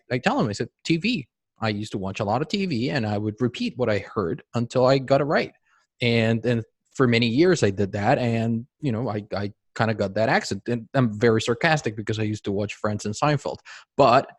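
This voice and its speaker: This is a man from Mexico, a native Spanish speaker, hosting a podcast in a chatty, conversational way. His pitch is middling for a man. The recording is dry and close. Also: expressive, a little fast, no room sound. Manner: conversational, restarts phrases. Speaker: podcast host. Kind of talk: casual interview conversation.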